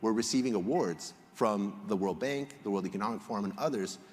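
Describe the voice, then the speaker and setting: A man from Egypt giving a prepared public presentation as a researcher, explaining in a measured way with emphatic phrasing. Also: fairly low pitch, darkish tone. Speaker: conference speaker, polling researcher. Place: large indoor hall, on stage. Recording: reverberant hall sound.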